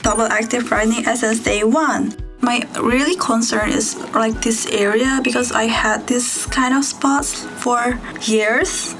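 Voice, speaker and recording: A woman's voice: fairly high pitch, steady tone, measured pace, music in the background, poor audio. A young woman from Indonesia, a student, earnestly showing her skincare routine in a casual vlog. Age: young adult. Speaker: student vlogger.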